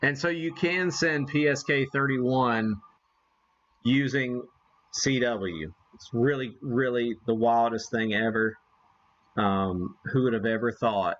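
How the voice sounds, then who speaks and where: A man talks casually as he hosts a hobbyist live stream. His voice sits at an average pitch, and his manner is folksy and self-effacing. The sound is a little muffled and lo-fi. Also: a bit bright. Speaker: live stream host, amateur radio hobbyist. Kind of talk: casual live demonstration chat.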